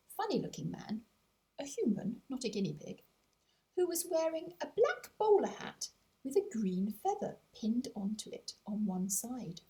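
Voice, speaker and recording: A woman narrating a scripted storybook as an audiobook, in an expressive storytelling manner. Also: a little high. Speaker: author narrating her own audiobook.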